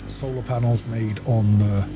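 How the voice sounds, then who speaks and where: A man's voice: lowish voice, steady tone, a little slow, loud music behind the voice. A man giving casual gameplay commentary, unhurriedly and methodically thinking aloud as he plays. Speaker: video game streamer.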